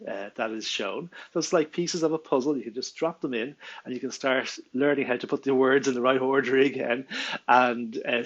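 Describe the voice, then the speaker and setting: A middle-pitched Irish man with a gravelly, creaky voice explains writing tips in a relaxed, conversational way. He laughs lightly and fills pauses with ums and uhs. The recording sounds slightly dull and lo-fi. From Ireland. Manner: chatty, laughs often, frequent ums and uhs. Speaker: Irish language teacher. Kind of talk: casual spoken tutorial.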